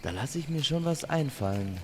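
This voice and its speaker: A man's voice: medium pitch, lively intonation, moderate pace, slight background noise, pitched above the performer's own voice, natural voice. A man playing a tense, determined male love archer with courtly speech in a fairy-tale audio drama. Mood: flustered, determined.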